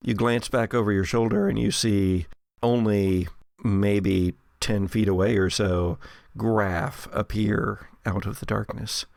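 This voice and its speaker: Casting: male game master narrating horror role-play, lowish voice with fry, recorded close and dry. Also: fairly even intonation, takes it slowly, darkish tone, no room sound.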